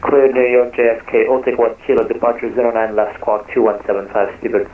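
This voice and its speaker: A man speaking as a pilot, making brisk readbacks in radio phraseology over an aviation radio. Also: mid-range voice, fairly even intonation. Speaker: pilot on a flight simulator radio network. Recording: tinny narrowband radio, faint noise.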